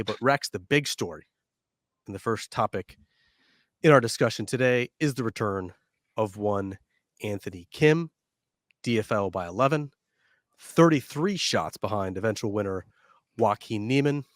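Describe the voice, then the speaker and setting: A man co-hosting a golf podcast, sounding a little nasal from a head cold and running low on energy. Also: no echo, clean studio sound. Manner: measured, slightly tired delivery. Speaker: podcast co-host. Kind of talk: casual sports podcast chat. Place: studio.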